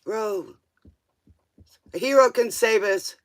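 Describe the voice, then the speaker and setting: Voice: mid-range voice, slow pace, no room sound, studio quality. A livestream tarot host chatting casually in a rambling, informal way, drifting between thoughts and tossing out questioning asides.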